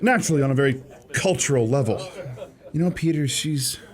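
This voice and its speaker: An actor playing an earnest, romantic and easily exasperated shop clerk in a radio play. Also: male voice, medium pitch, brisk, crowd noise, close to an everyday voice. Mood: shy, smitten. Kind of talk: radio theatre acting.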